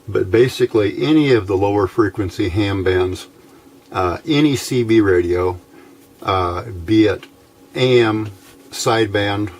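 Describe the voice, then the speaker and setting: An older American man with a fairly low voice talks through a hobby electronics project. His delivery is folksy and unhurried, broken into short phrases with frequent pauses, like an informal explanation from a longtime tinkerer. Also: a little hiss or hum. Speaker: hobbyist radio builder, presenter. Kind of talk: informal technical explanation with storytelling.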